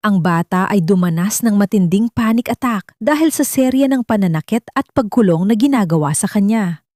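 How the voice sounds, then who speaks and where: A woman's voice: medium pitch, animated, moderate pace, a little mellow, no room sound, clean studio sound. A woman narrating a scripted audio drama in a dramatic storytelling style.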